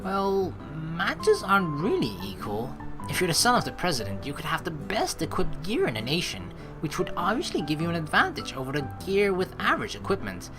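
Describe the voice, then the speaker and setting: A young man narrates a video game playthrough and reacts casually as he goes. His delivery is expressive and slangy, with lively swings in pitch. Clear game music sits behind his voice. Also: brisk. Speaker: let's play host. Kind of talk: game playthrough, reading dialogue aloud with casual commentary.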